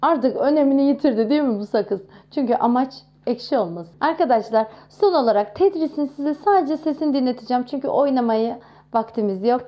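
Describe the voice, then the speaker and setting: A woman in her thirties talking casually to camera in a chatty, expressive way, showing off nostalgic things. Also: sits high, a little fast, lo-fi sound. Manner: chatty and expressive, with frequent exclamations. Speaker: vlogger.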